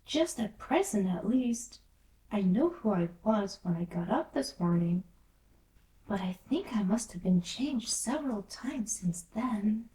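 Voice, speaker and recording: A woman's voice: medium pitch, lively intonation, measured pace, warm tone, natural voice. A woman voicing a polite, puzzled little girl in a fairy tale, speaking earnestly with some hesitation. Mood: shy, confused. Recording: roomy echo, distant from mic.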